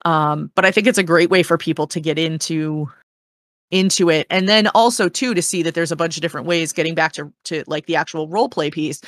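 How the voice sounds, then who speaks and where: A woman's voice: deep voice, steady tone, a little fast, no echo, clean studio sound. A woman in a casual discussion, explaining in an earnest, encouraging and meandering way as a panel guest.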